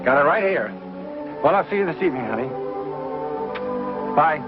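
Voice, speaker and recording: An actor playing a patient, affectionate pioneer husband in 1950s television western style. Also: male voice, on the high side, expressive, moderate pace, close to an everyday voice. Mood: cheerful. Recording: dull 1950s TV soundtrack with music.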